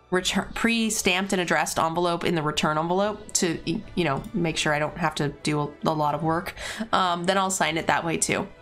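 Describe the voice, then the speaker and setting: A woman live-streaming a card game, a little raspy as she is losing her voice, chatting casually and answering viewers' questions between plays. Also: lowish voice, a bit bright.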